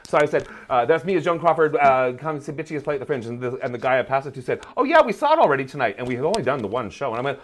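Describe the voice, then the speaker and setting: A man telling anecdotes as an interview guest, casual and animated, with dry, catty humour. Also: medium pitch, rapid-fire, few pauses. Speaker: guest, playwright and drag performer.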